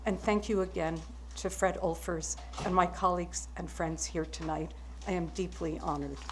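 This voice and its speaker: A German-born woman with German as her first language, giving a measured, wry acceptance speech. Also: fairly low pitch, steady tone, very noisy.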